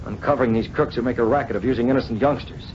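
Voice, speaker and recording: Determined American masked avenger male actor, mid-pitched, clipped orders, vintage radio drama, dull noisy recording. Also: racing, lightly acted. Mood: frustrated. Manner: commanding, clipped. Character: resolute male publisher, secretly a masked vigilante.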